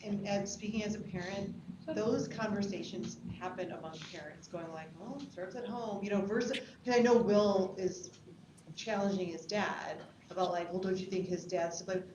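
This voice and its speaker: A woman giving feedback in a casual group discussion, speaking hesitantly and softening her opinions. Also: mid-range voice, normal speed, highs cut off. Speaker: participant giving script feedback. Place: indoor room at a table read, group around a table. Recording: distant mic in echoey room, noisy.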